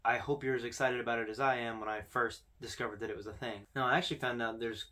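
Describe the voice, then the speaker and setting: A man's voice: medium pitch, steady tone, quick pace, pushed and bright. A young man hosting a video with casual, informal commentary, talking conversationally to camera. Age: young adult. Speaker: video host. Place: small indoor room with posters.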